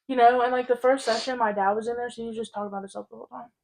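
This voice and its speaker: A teenage girl with a fairly high voice talks as a podcast co-host. Her delivery is casual, candid and informal, with "like" scattered through her sentences. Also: fairly even intonation, rapid-fire, creaky, a little mellow, poor audio. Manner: candid, informal, heavy use of "like".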